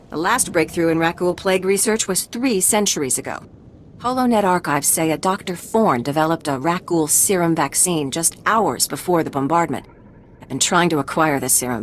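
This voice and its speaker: An actress playing a plague researcher in a video game, with a dry, ironic, measured delivery. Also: female voice, fairly low pitch, a little hiss or hum, close to an everyday voice. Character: wry female plague researcher. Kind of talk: game cutscene acting.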